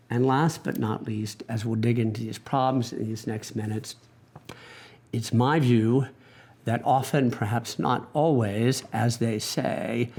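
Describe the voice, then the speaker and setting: A man's voice: medium pitch, darkish tone. A man from the United States arguing a position in a formal debate, emphatic and fond of rhetorical lists.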